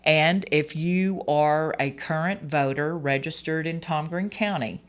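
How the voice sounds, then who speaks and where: Hoarse candidate, rambling gently. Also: medium pitch, measured pace. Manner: rambling, many fillers and restarts, earnest. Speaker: political candidate. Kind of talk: radio interview guest.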